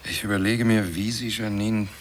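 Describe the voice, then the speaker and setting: An actor playing a dour, skeptical man in charge in a radio play, speaking drily with long pauses. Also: on the low side, little pitch movement, background noise, close to an everyday voice. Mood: skeptical, dry. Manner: dry, slow, pausing.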